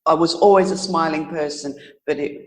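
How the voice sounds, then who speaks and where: A woman answering interview questions in a casual, reflective way, earnest and halting, pausing to think and correcting herself. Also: low voice, fairly even intonation. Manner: earnest, halting, thoughtful pauses with self-corrections. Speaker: interview guest, author.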